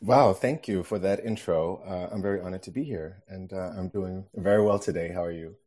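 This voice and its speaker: A man from Denmark, a guest on a podcast interview, relaxed, laughing easily, with many fillers. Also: sits low, a little fast.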